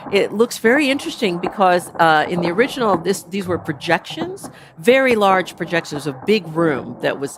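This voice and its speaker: A woman's voice: low-pitched, no echo, studio quality. A woman artist giving an informal seminar talk about her work, chatty and full of fillers and restarts. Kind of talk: informal lecture. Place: seminar room.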